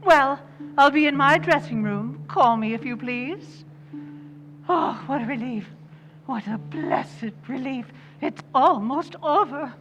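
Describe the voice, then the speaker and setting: Woman acting a high-voiced, imperious movie star diva. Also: takes it slowly, a bit bright, in a large hall, faint music behind, poor audio. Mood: weary, gracious. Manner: theatrical, haughty. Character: imperious, temperamental female movie star, married and grand. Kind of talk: old-time radio drama recreation acting.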